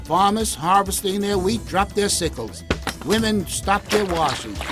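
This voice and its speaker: A man narrating a scripted children's story in an expressive, deliberate way with clear phrasing. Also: sits high. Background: background music.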